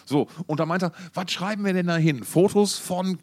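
A man's voice: high voice, moderate pace, a little mellow. A middle-aged man co-hosting a podcast, chatting casually about music in a rambling, wordy, jokey way. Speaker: podcast co-host.

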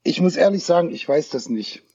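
A man with a somewhat high, husky voice talks openly in a relaxed interview, often pausing to find his words. He is heard over a remote call that sounds a little dull and close, with no room sound around him. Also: no room sound. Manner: candid, searching for words. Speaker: guest actor. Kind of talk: casual interview talk.

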